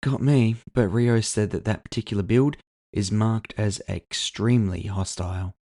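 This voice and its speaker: A man voicing a brash, sharp-tongued woman with a casual, sardonic, teasing manner in a cyberpunk audio drama. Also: fairly low pitch, steady tone, normal speed. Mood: matter-of-fact. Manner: casual, sardonic, foul-mouthed. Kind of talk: audio fiction character dialogue.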